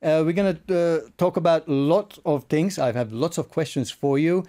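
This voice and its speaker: A man's voice: a little high, normal speed, mellow. A man hosting a casual online interview, speaking in a polite, somewhat hesitant way. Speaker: interviewer, host.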